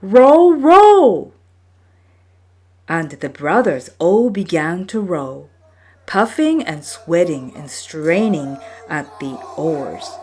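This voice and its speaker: A woman reading from a folktale book as a storyteller, with measured, deliberate phrasing. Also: low-pitched, lively intonation, darkish tone, slight background noise. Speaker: storyteller, reader. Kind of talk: scripted narration of a folktale book.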